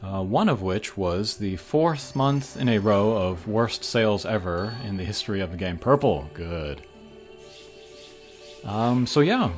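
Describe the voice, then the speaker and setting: A man's voice: fairly low pitch, animated, measured pace. A man hosting a gaming channel, giving casual, hesitant commentary as he thinks aloud over mobile game pulls. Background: game music.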